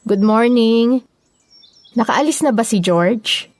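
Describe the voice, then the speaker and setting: A woman's voice: fairly high pitch, slow pace, darkish tone, slight background noise. A woman playing a concerned, matter-of-fact young Filipina wife in an audio story, conversational. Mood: casual. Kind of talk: audio story drama dialogue.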